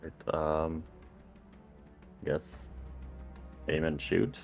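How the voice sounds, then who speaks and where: A man playing a tabletop game, chatting in a hesitant, low-key way about his moves. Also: flat delivery, extremely slow, vocal fry, faint music behind. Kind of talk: casual game table chat.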